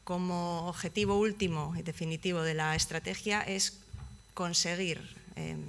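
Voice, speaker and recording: A young woman giving a conference presentation, pausing often with fillers and restarting phrases, seated at a table in a conference hall. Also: low-pitched, gravelly, fairly bright tone, a little hiss or hum. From Basque Country, Spain. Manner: hesitant, many fillers and restarts. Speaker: project manager presenting at a conference.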